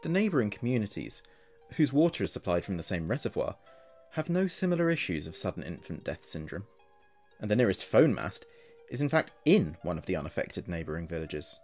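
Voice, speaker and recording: A man narrating a scripted horror story in a measured, deliberate storytelling manner. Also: low voice, big pitch swings, darkish tone, soft background music.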